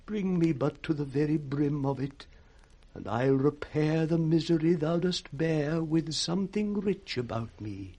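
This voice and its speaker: An actor playing a wretched, blinded old nobleman with a British accent, husky and broken, in 1960s radio Shakespeare style. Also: a little high, dark tone. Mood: weary resolve. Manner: slow, broken, declamatory.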